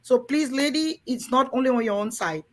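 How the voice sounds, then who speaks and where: A woman's voice: high voice, moderate pace. A woman from Cameroon hosting a frank talk to women in a room, earnest and coaxing, often turning to the ladies in her audience. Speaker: host.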